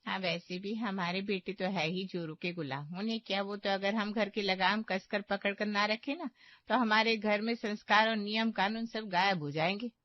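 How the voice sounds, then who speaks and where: A woman voicing a haughty, demanding middle-aged mother-in-law in a cartoon, sharp-tongued, gossipy and sarcastic. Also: mid-range voice, steady tone, normal speed, dry recording, muffled recording, lightly acted. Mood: gossipy scorn. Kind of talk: animated moral-story cartoon acting.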